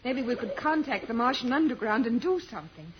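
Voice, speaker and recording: Woman playing a plucky high-voiced Earth girl. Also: lively intonation, fairly quick, natural voice. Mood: hopeful. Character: plucky, loyal young Earth woman adventurer. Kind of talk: old-time radio serial acting. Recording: muffled old radio recording, faint noise.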